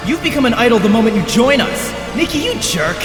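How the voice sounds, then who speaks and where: A very high-pitched, animated young idol ringleader acted by a man in an anime dub. He is showy and taunting, declaiming like a stage agitator in heavy reverb over music. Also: quick pace. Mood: threatening. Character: brash, theatrical young male idol ringleader, taunting rabble-rouser. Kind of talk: anime fandub acting, stage-speech declamation. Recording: echoey long reverb, loud music behind.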